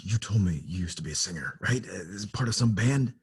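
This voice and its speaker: A man acting an anxious undercover soldier in a table read, urgent and halting. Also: deep voice, lively intonation, breathy edge, exaggerated voice. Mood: coaxing, uneasy.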